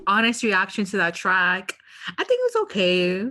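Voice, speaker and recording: A woman's voice: mid-range voice, big pitch swings, normal speed, bright, forceful tone, slight background noise. A woman co-hosting a podcast in a casual, opinionated way, with sassy, slangy talk. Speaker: podcast co-host.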